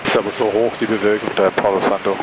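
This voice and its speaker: A male airline pilot talking casually with his colleague in the cockpit, brisk and matter-of-fact, over a headset intercom. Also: on the low side, little pitch movement. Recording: loud cockpit engine noise.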